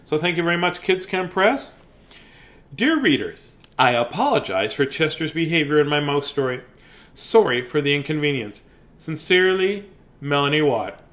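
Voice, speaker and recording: Chatty man reading a storybook, slightly high voice. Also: lively intonation, normal speed, a bit bright. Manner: playful, rambling, often breaking off to comment. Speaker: children's story reader and host. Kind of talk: informal read-aloud with chatty asides.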